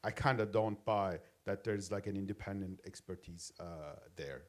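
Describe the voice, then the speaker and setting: A man from Turkey stands up to ask a long question from the audience. His voice is fairly low, and he hesitates often and restarts his sentences. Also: normal speed. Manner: hesitant, many fillers and restarts. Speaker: audience member, senior lecturer.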